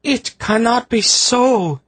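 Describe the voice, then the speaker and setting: A man narrating an audiobook voices a solemn blindfolded woman seeress who speaks in slow, archaic, formal phrases. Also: on the high side, animated, fairly bright tone, pitched above the performer's own voice, exaggerated voice. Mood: anguished. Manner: archaic formal diction, slow drawn-out pleading.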